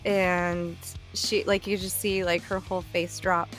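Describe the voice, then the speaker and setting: A woman in her thirties hosting a casual chat, telling lively stories, swearing freely and laughing often. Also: on the low side, a little slow, soft background music. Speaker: podcast host.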